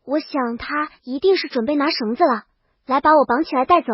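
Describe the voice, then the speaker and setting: High-pitched woman narrating a comic tale. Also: fairly quick, no room sound, dull audio. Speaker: audiobook narrator. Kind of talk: first-person comedic storybook narration.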